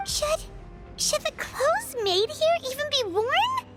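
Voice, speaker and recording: A woman voicing a small, childlike, excitable fairy companion in a video game, indignant and expressive. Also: extremely high pitch, slow pace, over music, full character voice. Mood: alarmed, determined. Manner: exclamatory, expressive.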